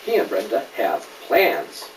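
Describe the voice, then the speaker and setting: Man, animated read-aloud, patient explanations, questions to a child, echoey room, faint noise. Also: on the low side, a little slow. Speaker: father reading a story to a child. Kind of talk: read-aloud picture book storytelling with casual asides. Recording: roomy, distant mic, faint background noise.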